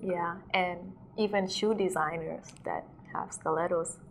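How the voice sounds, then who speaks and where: A woman's voice: lowish voice, steady tone, measured pace, a little hiss or hum. A young woman from South Sudan, a DJ and model, chatting casually in an interview, saying "like" a lot and laughing often.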